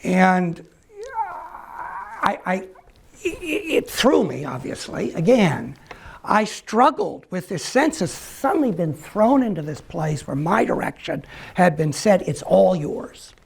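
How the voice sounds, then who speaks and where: Gravelly, husky older American man. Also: high-pitched, big pitch swings. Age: 60s. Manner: halting, reflective delivery with pauses. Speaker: academic speaker at a forum. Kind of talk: personal testimony talk, reflective storytelling.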